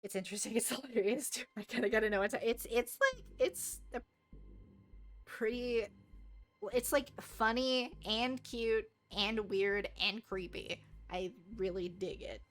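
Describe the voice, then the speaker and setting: A woman livestreamer chatting casually with her viewers in an expressive, bubbly, rambling way. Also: on the high side, measured pace, faint music behind. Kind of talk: casual live game stream chat with viewers.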